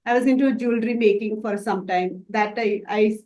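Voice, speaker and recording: A woman's voice: mid-range voice, fairly even intonation, moderate pace, mellow, roomy sound, lo-fi sound. A woman from Dubai answering interview questions about her makeup work, hesitant and full of fillers and restarts. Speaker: makeup artist student.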